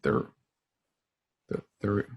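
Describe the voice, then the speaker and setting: A man's voice: very slow, a little mellow. A man hosting, rambling through thanks and show plugs in casual chat from home, laughing often. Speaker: show host. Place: home, over a video call. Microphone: home setup.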